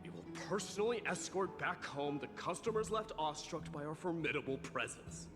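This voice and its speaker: A man's voice: fairly high pitch, expressive, a little fast, big-room sound, over music, close to an everyday voice. A man voicing a proud, stubborn young warrior prince in a video game, with a haughty, declamatory delivery.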